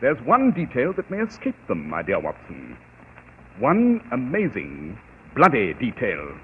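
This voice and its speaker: An actor playing a brilliant, pompous detective with precise, dramatic diction in a 1940s radio mystery. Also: male voice, mid-range voice, wildly swooping pitch, measured pace. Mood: intrigued, ominous. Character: brilliant, self-assured, theatrical male private detective. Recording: tinny, noisy old 1940s radio broadcast.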